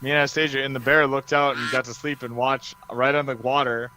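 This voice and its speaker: A man hosting a hobby livestream, chatting casually in an excitable, rambling way. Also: mid-range voice, brisk, low-quality recording. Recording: steady background noise.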